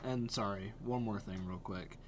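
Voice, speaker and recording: A man's voice: lowish voice, steady tone, normal speed, lo-fi sound, slight background noise. A young man giving casual, enthusiastic reaction commentary as a video host. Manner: enthusiastic, repetitive praise. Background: faint music.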